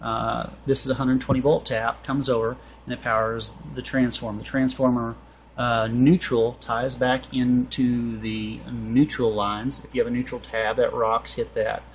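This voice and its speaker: A man in his forties with a middling-low voice talks through a hands-on technical job. He is informal and halting, thinking aloud as he goes. It is an amateur camera recording from a workshop with some noise behind him. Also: flat delivery. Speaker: HVAC tutorial presenter. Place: indoor workshop with metal shelving.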